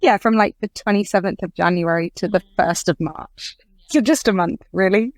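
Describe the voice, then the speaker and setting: A woman's voice: mid-range voice, moderate pace, dry recording. An English woman in her thirties chatting as a podcast guest, talking freely and filling with "like" and "I guess". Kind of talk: casual interview chat.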